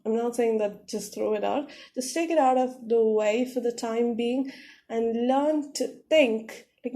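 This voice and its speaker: A woman's voice: fairly high pitch, warm tone. A young woman teaching a lesson, explaining step by step with many fillers. English and Korean are not her first languages.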